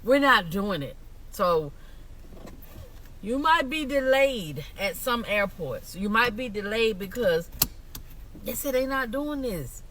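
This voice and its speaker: A woman's voice: on the high side, animated, takes it slowly, pauses often, a bit bright. An American woman giving casual commentary in a sassy, emphatic way, repeating her points, on a slightly noisy recording. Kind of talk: casual social media commentary.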